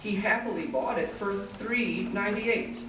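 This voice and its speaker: A man playing a secretive, nostalgic old hermit who tells his tale in rhyming stage verse with theatrical, drawn-out delivery. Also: sits high, natural voice. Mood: boastful, dismissive. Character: secretive old male hermit creature, nostalgic, boastful, wheedling storyteller. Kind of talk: readers theater verse performance. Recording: roomy, distant, faint noise.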